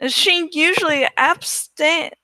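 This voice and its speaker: A woman's voice: extremely high pitch, takes it slowly, bright, forceful tone, dry recording. A woman reading a story aloud as scripted narration. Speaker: audiobook-style reader.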